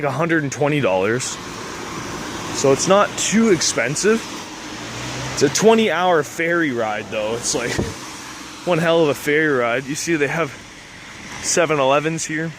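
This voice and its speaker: Young canadian guy walking and chatting to the camera, bit high voice, loud traffic all around. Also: animated, moderate pace, pauses often. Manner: rambling, laughs often, lots of "like". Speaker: vlogger. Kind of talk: casual walking vlog. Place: city street outdoors. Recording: outdoor street noise. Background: street traffic.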